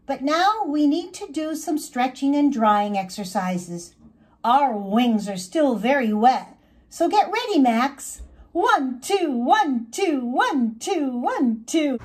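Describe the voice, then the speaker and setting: A woman voicing a young female monarch butterfly, sweet and excitable, with a gushing, expressive delivery. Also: sits high, slow pace, full character voice. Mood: cheerful, coaching. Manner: gushing, wide-eyed, expressive.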